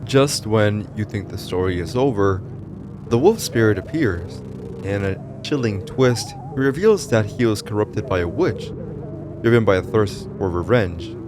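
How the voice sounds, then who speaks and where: A man's voice: fairly low pitch, animated, unhurried. A man reading scripted narration for a horror story explainer in a dramatic, ominous storytelling manner. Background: music behind the voice.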